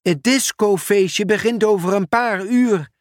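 A man reading a storybook aloud, voicing an excitable male sorting office manager who talks in a raised, exclaiming voice. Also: a little slow, dry recording, studio quality. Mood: excited. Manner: excited, high-pitched, exclaiming.

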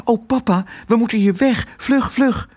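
A spirited little storybook girl, voiced by a man reading aloud, pitched high and swinging widely up and down. She is direct and plain-spoken, with a slightly husky edge. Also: normal speed, exaggerated voice. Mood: urgent. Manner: lively, direct, exclamatory.

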